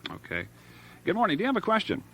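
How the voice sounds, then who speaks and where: A man's voice: high-pitched, huge pitch swings, fast, pushed and bright, a little hiss or hum, rough recording. A man hosting a live call-in radio show, talking in a rambling way and searching for words as he goes. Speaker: radio talk show host.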